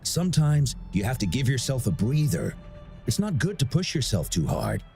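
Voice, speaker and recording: Genial older festival director, animated delivery. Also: male voice, mid-range voice, normal speed, gravelly, soft background music. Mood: genial, encouraging. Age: middle-aged. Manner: genial, chatty, expressive. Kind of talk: video game voice acting.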